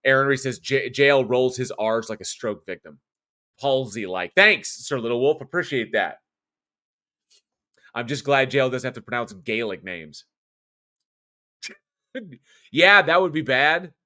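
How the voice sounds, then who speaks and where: A man's voice: medium pitch, lively intonation, a little slow, pauses often, pushed and bright. A middle-aged American man with a non-regional accent hosts a horror show and chats casually. He rambles, reads viewer comments aloud and often restarts or corrects himself. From United States, born in California. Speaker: horror show host and voice actor. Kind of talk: casual live-stream chat, reading viewer comments.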